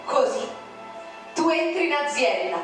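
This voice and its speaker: Woman, high voice, declamatory poetry reader, far off in a booming room. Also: very animated, measured pace. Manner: declamatory, with sharp swings in pitch. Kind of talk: dramatic poetry recitation. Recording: very echoey, distant, with music. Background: music.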